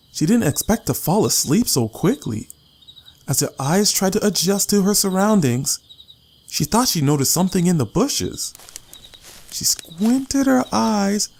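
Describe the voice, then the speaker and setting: A man's voice: fairly high pitch, gravelly, darkish tone. A man narrating a story as a scripted, dramatic audiobook reading.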